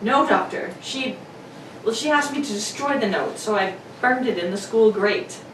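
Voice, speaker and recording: An actress playing an earnest, distraught young governess, pleading and halting in a melodramatic radio drama. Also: female voice, on the high side, a bit bright, lightly acted. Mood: earnest, indignant. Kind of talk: 1940s radio drama acting. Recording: roomy, distant mic with clear noise.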